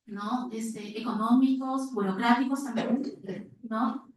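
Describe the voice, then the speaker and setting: A woman's voice: a little high, fairly even intonation, slow. A woman with a Peruvian accent speaking informally from the audience at a conference, telling a story and asking a question. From Peru. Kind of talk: spontaneous anecdote and question to panelists. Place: reverberant indoor conference room. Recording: very echoey room, distant microphone.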